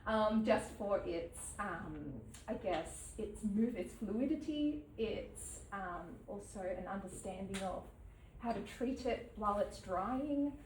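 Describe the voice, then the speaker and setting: A woman's voice: mid-range voice, lively intonation. Hesitant Australian woman in a roomy gallery. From New South Wales, Australia. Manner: halting, with many ums and soft laughs. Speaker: artist giving a talk. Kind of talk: unscripted artist talk. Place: gallery event space with an audience. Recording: roomy, from some distance, faint background noise.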